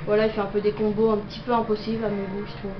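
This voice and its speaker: A woman's voice: mid-range voice, little pitch movement, rapid-fire. Young woman, has a cold, hesitant gameplay commentary, echoey room, background noise. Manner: hesitant, many fillers. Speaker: gameplay video maker. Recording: roomy, dull lo-fi audio with noise.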